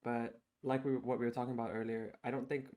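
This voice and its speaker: A man's voice: medium pitch, little pitch movement, quick pace, a little mellow. A man in his twenties chats on a podcast, working through his points as he goes. He restarts and hedges, dropping fillers along the way. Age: 20s. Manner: thinks aloud, many restarts and "like". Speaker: podcast co-host. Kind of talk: casual podcast chat.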